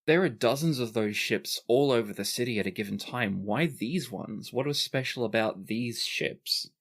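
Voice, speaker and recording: A man's voice: medium pitch, normal speed, fairly bright tone. A man running a tabletop game as game master, narrating and speaking in quotes for the people his players meet. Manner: plays non-player characters in quoted lines.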